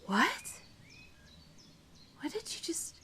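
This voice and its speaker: Woman playing an anxious, slow-spoken farm schoolgirl. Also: pushed and bright, slight background noise. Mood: shaken, disbelieving. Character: anxious farm schoolgirl daughter, superstition-doubting and stubborn. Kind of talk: horror radio drama acting.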